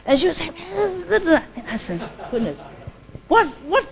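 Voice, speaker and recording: Chatty nun with a friendly lilt. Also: female voice, very high-pitched, sing-song, a little slow, creaky. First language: Cantonese. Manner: chatty, frequent tag "okay?", laughs easily. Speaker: Buddhist nun giving a dharma talk; publications director. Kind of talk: informal dharma talk.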